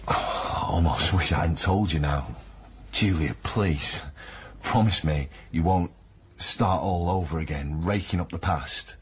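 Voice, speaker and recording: Radio play: gentle, concerned partner, low-pitched natural delivery over noticeable background noise. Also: male voice, measured pace. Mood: pleading. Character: earnest, concerned male partner of a troubled woman. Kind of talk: naturalistic radio drama dialogue.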